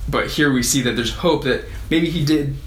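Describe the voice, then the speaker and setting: A man's voice: medium pitch, moderate pace. A man teaching a Bible lesson as a pastor, explaining verses in a conversational way and lifting his voice when he reads scripture aloud. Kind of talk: sermon teaching through scripture. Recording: echoey room with faint ambience.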